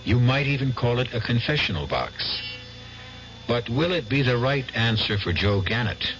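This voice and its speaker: A man hosting a radio mystery drama with measured, suspenseful scripted narration. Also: medium pitch, sing-song. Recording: dull old radio broadcast, music behind.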